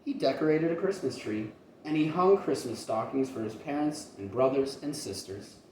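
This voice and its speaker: A man reading a children's story aloud in an expressive teacher's style. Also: mid-range voice, moderate pace. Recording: roomy, distant mic, faint noise.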